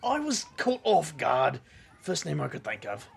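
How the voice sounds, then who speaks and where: A man acting a wry, awkward detective magician in an audio drama, with a gravelly voice and dry, halting delivery. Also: a little high, huge pitch swings, exaggerated voice. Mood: wry, rueful.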